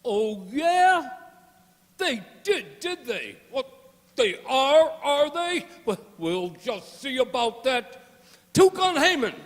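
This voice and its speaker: A woman's voice: sits high, big pitch swings, slow pace, heavily acted. A woman playing a bossy, indignant, menacing gang boss in an old-time radio drama. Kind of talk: 1940s radio drama acting. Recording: echoey hall, faint noise.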